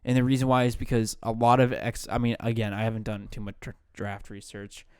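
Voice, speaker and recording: A man's voice: medium pitch, level tone, brisk, a little mellow, smooth, dry recording, studio quality. A man co-hosting a casual fantasy football podcast, thinking aloud, hedging and backtracking as he talks. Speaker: podcast co-host. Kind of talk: casual sports chat.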